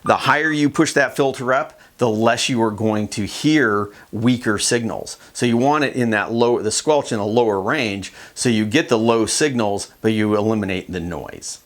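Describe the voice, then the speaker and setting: A man's voice: on the low side, normal speed, hardly any pauses. A man giving a casual, plain-spoken instructional demonstration in a conversational way. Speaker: kayak fishing instructor.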